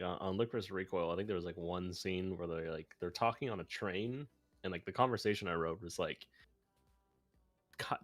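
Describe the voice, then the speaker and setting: Low-voiced man in casual stream chat. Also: dry recording. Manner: halting, filler-heavy phrasing with frequent "like". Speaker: streamer and video editor, co-host.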